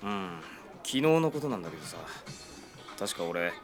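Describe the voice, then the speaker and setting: A gentle young suitor in a radio drama, casual and flattering, his mid-pitched voice moving lively. Music plays behind him. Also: moderate pace. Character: friendly young man courting a woman.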